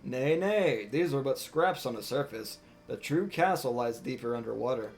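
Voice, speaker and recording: A man's voice: medium pitch, expressive, normal speed, darkish tone, lightly acted. A man voicing a self-important talking cat on a solemn mission from a video game, in archaic, formal words. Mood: pompous, resolute. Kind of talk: reading game dialogue aloud. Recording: music behind the voice.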